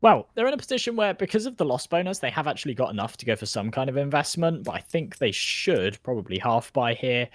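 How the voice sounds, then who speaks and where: A man's voice: mid-range voice, very animated, quick pace, few pauses, dry recording. A man with a British accent giving casual, chatty live color commentary on an esports match. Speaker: esports analyst caster.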